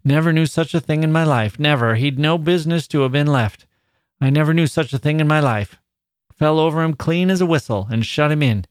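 Man voicing a fussing old bearded pit boss, higher than his own voice, dry close sound, old village dialect. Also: a little fast, no room sound, lightly acted. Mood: perplexed.

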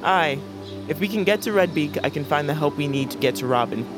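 A man acting as a weary fantasy assassin, brooding and defiant. His voice sits a little high and he talks in terse phrases, with music playing behind him. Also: lively intonation, a little fast, lightly acted. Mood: wry. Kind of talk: fantasy audio drama acting.

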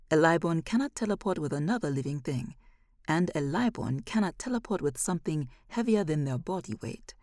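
Soft husky woman narrating, low and close. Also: dry recording. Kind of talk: scripted narration of a first-person short story.

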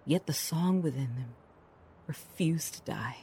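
A woman playing a solemn, wistful fairytale storyteller in an audio drama, narrating theatrically in a hushed, breathy voice. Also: low voice, measured pace, a little hiss or hum. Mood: hushed, ominous.

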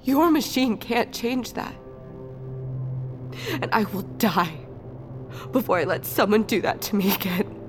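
A woman's voice: medium pitch, lively intonation, measured pace, pauses often, over music, close to an everyday voice. An actress playing a defiant, guilt-ridden captive young woman in an audio drama. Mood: bitter grief.

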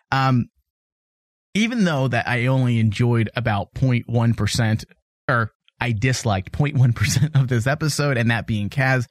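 A man's voice: medium pitch, no room sound. A man co-hosting a casual podcast, chatting animatedly and teasing his co-host, laughing easily.

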